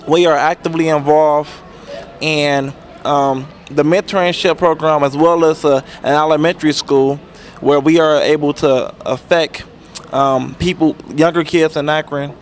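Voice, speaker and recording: A man in his twenties, speaking earnestly in a halting way with many pauses and restarts about mentoring work. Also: fairly high pitch, slight background noise. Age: 20s. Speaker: interviewee, youth mentor. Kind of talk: unscripted talk about community programs. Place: outdoors at a transit center.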